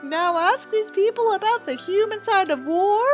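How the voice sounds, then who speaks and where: Female reader, theatrical and sarcastic, very animated pitch swings, mocking asides, music underneath. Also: moderate pace. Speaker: host reading a book aloud with asides. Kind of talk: sarcastic dramatic reading with commentary.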